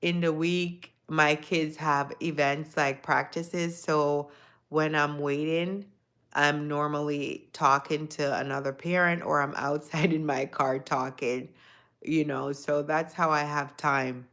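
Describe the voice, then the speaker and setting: A woman's voice: deep voice, steady tone, slow, a bit bright. A woman of Jamaican descent hosting a casual livestream, talking reflectively to her viewers and greeting them by name. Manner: reflective, talks to chat viewers by name, repeats phrases. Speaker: livestream host. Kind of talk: casual live chat with viewers.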